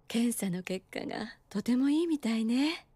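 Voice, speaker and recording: A woman playing a composed adult nurse in anime, speaking in a gentle, coy and wistful manner. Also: on the high side, expressive, moderate pace, husky, no echo. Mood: gently teasing. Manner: gentle, coy, feminine phrasing. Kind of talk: anime drama dialogue.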